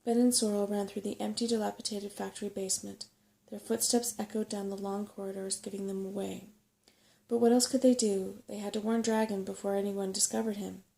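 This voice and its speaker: A soft-spoken woman reads a bedtime story as an ASMR storyteller. Her voice is dark and relaxed, with noticeable vocal fry. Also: medium pitch, fairly quick.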